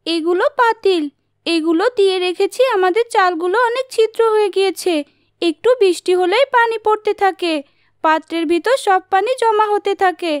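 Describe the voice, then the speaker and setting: A woman's voice: extremely high pitch, moderate pace, no room sound, studio quality, voice pushed up. A woman voicing an earnest, helpful young village daughter in a cartoon. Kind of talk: cartoon child voice. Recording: music behind the voice.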